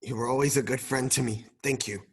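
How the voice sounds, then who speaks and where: A man playing an old miser in a radio play, husky and hesitant, with a dramatic delivery. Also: medium pitch, steady tone, a little fast, natural voice. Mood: uneasy. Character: grumpy, fearful old miser softening into wistfulness.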